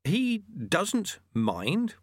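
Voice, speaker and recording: A man's voice: fairly high pitch, wildly swooping pitch, moderate pace, fairly bright tone, no room sound, clean studio sound, pitched above the performer's own voice, full character voice. A man voicing an earnest young male apprentice monk who sounds anxious and incredulous. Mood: uneasy.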